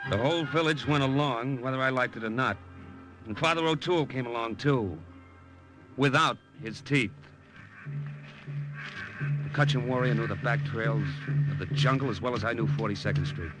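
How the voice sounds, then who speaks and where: American GI hero played by a man, old-time radio war drama, medium pitch, prominent dramatic music, hard-boiled delivery. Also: moderate pace, pauses often, natural voice, low-quality recording. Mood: tense, grim. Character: hard-boiled American soldier recounting a jungle war mission. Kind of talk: 1950s radio drama acting and narration.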